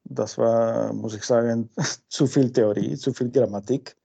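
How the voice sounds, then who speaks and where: A man from Peru, a native Spanish speaker with a Peruvian Spanish accent, answering interview questions informally and haltingly, searching for words. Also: medium pitch, fairly even intonation, gravelly, dark tone, breathy edge. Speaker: interview guest. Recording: dull lo-fi sound.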